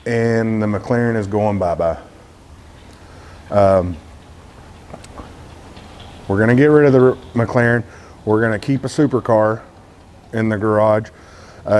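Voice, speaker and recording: A man's voice: medium pitch, a little mellow. A man from Texas with a Texan accent, talking casually to camera as a car vlogger, candid and rambling with lots of "uh" fillers.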